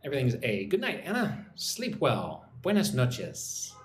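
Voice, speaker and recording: A man's voice: mid-range voice, wildly swooping pitch, unhurried, reverberant, slight background noise. An American man hosting a casual English lesson livestream, chatting with viewers and answering their comments by name. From United States. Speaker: English teacher. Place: home in Vietnam.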